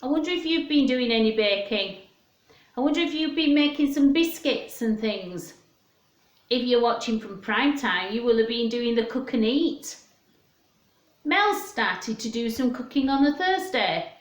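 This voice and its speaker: A woman hosting storytime for young children in a sing-song, questioning manner, chatting and reading aloud. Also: a little high. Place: indoors at home. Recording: echoey home room.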